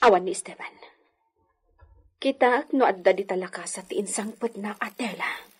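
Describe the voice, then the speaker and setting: A woman's voice: mid-range voice, normal speed, breathy edge. A woman playing a suspicious, proud rich wife in a radio drama. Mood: suspicious musing. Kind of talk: Ilocano radio drama acting.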